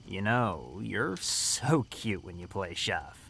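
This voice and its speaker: 남자가 연기하는 느긋하고 장난기 많은 밝은 음색의 젊은 상담원. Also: male voice, low-pitched, very animated, slow pace, gravelly, a bit bright, a little hiss or hum, exaggerated voice. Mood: teasing.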